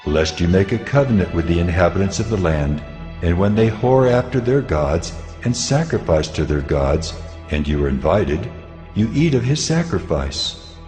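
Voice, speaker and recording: Man playing deity, very low voice, stern, authoritative, declamatory, scripture audio drama, prominent music behind. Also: darkish tone. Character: God of Israel, solemn commanding male deity giving law.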